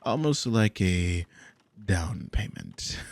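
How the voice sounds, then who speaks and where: A man's voice: low voice, animated, extremely slow, creaky, heavily acted. A man playing a dominant, possessive demon in an ASMR audio roleplay, speaking in a commanding, condescending, theatrical drawl with smug, silky menace. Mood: sly, storytelling. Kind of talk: ASMR audio roleplay, intimate scripted monologue.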